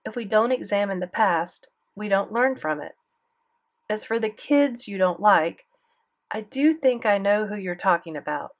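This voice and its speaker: Woman reading a kind male teacher's letter, gentle, earnest, encouraging, audiobook. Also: medium pitch, lively intonation, unhurried.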